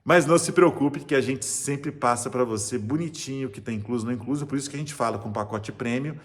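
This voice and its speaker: Brazilian guy talking cruise tips, mid pitch, upbeat and salesy, lots of restarts. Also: fast. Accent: Brazilian Portuguese. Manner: chatty, enthusiastic, salesy, with frequent restarts. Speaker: cruise travel agent and vlogger. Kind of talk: casual tips vlog to camera.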